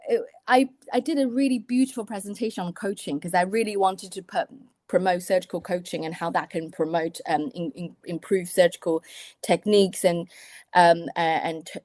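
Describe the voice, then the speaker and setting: A woman's voice: mid-range voice, a little mellow, no echo. Hesitant Taiwanese-born woman, dry sound. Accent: British English. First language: Mandarin. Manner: hesitant, many restarts and fillers. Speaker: surgeon, guest. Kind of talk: interview conversation.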